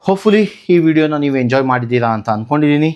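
A man's voice: fairly high pitch, expressive, rapid-fire, lo-fi sound, a little hiss or hum. A man from Karnataka, India, talking casually as a vlogger through a hands-on tutorial, explaining by posing questions and answering them. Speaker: motovlogger, channel host.

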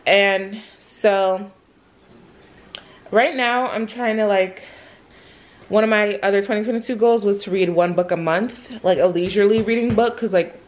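A woman's voice: medium pitch, a little hiss or hum. A young woman, chatting casually to camera at home in a rambling vlog, with lots of fillers. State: slightly nasal, a bit congested. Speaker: vlogger. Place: living room and kitchen at home. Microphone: handheld camera at arm's length.

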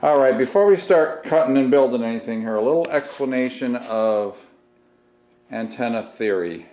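A man's voice: mid-range voice, lively intonation, moderate pace, dark tone, a little hiss or hum. A middle-aged man giving a casual, folksy hands-on how-to, thinking aloud as he works. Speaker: DIY workshop host. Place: outdoors by a house and in a barn workshop.

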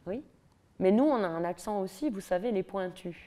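Woman, middle-aged, parisian accent, university lecture, lively, ironic asides, lectern mic in a big hall. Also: mid-range voice, moderate pace. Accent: Parisian French.

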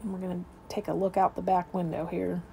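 A woman's voice: fairly low pitch, little pitch movement, fairly quick, creaky, warm tone, a little hiss or hum. A woman real estate agent narrating an informal, unscripted walkthrough of a home, describing each room as she moves through it. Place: indoors, moving through rooms of a house.

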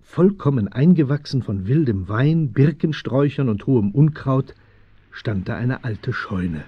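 A man's voice: medium pitch, animated, unhurried, slightly rough, no echo. A man narrating a children's radio play as a scripted storyteller. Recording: dry, slightly dull 1970s studio recording.

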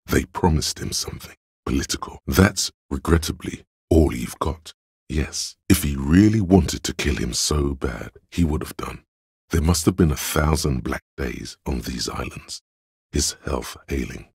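A man voicing a detective's inner logic in a video game, cold, analytical and condescending, speaking in a measured, deliberate way with a deep, husky, gravelly voice. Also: no echo, studio quality, exaggerated voice. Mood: intent, pressing. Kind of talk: scripted game narration.

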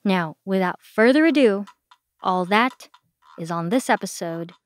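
A woman's voice: mid-range voice, lively intonation, measured pace. A woman hosting a podcast, talking in a friendly conversational way. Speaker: podcast host.

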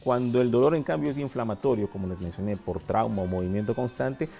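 A man in his forties, a doctor guest on a TV health show, explaining treatments in a steady, methodical way with a lapel mic in a studio. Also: medium pitch, fast talker, vocal fry, faint music behind. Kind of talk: medical explanation.

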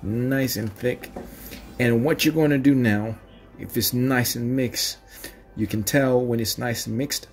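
A man's voice: mid-range voice, steady tone, unhurried, faint music behind. A man in his thirties from Zambia, with a Zambian accent, hosting a home cooking demonstration in a small kitchen. He talks in a chatty, encouraging way, with plenty of fillers and questioning "mm?" tags. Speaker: cooking channel host.

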